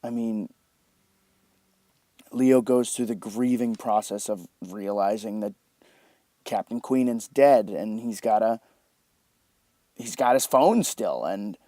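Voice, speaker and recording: Animated man breaking down a film, close dry sound. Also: on the low side, dry recording. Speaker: film review host. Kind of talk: enthusiastic film scene commentary.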